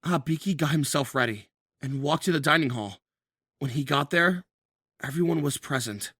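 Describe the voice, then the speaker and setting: A man acting as the storyteller of an audio drama, reading scripted narration in a husky voice. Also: a little high, normal speed, fairly bright tone, no room sound, studio quality. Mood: neutral.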